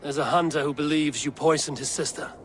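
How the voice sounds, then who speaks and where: An actor playing a wry, pragmatic, commanding Viking warrior in a video game, with dry, understated delivery. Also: male voice, a little high, steady tone, brisk, fairly bright tone, slight background noise, natural voice. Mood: cool, questioning. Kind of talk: video game character acting.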